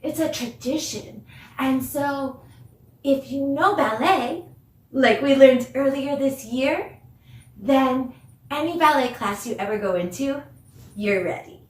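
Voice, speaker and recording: Woman, children's dance instructor, higher-pitched voice, unhurried, encouraging, recorded at a distance in an echoey room. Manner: encouraging, deliberate, demonstrative; mixes English with simple Spanish phrases. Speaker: dance teacher.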